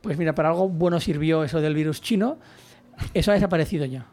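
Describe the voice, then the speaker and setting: A young man from Catalonia speaks Spanish with a Catalan accent, chatting fast as an interview guest. His voice sits a little high. He rambles, restarts and fills his sentences with "o sea". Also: a little mellow, faint music behind. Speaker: guest writer and journalist. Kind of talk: casual interview chat.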